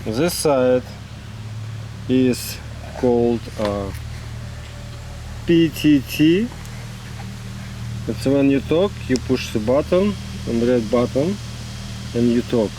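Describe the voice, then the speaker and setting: Halting man, slow and noisy recording. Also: mid-range voice, expressive. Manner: halting, choppy phrasing. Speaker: product reviewer. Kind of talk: casual hands-on product demo. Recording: noisy, vehicle and ambient background noise.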